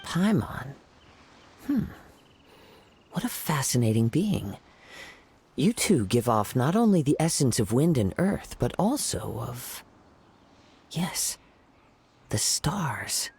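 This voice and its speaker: An actor voicing a serene young wandering poet in a video game, soft and breathy, with measured, formal phrasing. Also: male voice, medium pitch, very animated, exaggerated voice. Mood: wondering, hushed. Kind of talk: video game story dialogue.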